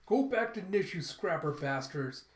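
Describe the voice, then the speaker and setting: A man's voice: mid-range voice, moderate pace, darkish tone, roomy sound, close to an everyday voice. A man playing a defiant, idealistic young woman activist in dramatic storytelling dialogue. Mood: furious.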